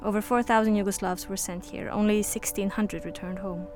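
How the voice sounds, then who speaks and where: A woman's voice: medium pitch, steady tone, fairly quick, a little mellow, faint music behind, lightly acted. A woman playing a frank, insistent character proud of her family history, speaking directly and pointedly in a naturalistic audio drama. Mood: grave.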